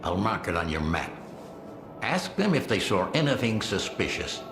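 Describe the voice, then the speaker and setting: A gruff, suspicious game trader with a low voice that swings lively from curt to sardonic. The room echoes around him and music plays behind. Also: male voice, moderate pace, background noise. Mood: businesslike, edgy. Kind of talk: video game dialogue. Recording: roomy echo, music behind.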